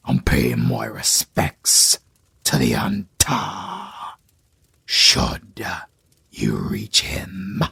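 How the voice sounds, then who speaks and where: A British man playing a solemn, kindly old village elder, speaking in a hushed, raspy, old-fashioned way. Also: lowish voice, full character voice. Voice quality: hushed, raspy, archaic speech. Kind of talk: audio roleplay acting.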